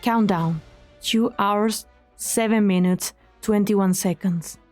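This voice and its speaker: A woman reading scripted dramatic story narration in a measured, solemn manner. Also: mid-range voice, a little mellow. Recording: background music under the voice.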